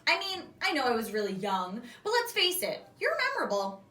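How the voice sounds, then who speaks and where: A woman's voice: on the high side, very animated, brisk, pushed and bright. A woman voicing an earnest, matter-of-fact young girl explaining things in a children's story. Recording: echoey room, distant microphone.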